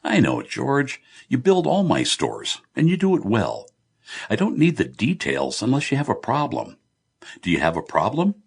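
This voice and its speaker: A man's voice: mid-range voice, huge pitch swings, normal speed, gravelly, husky, voice pushed up, full character voice. A man voicing a good-humoured, blunt middle-aged Irish businessman in an audiobook, breezy, teasing and confiding. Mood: breezy, commanding.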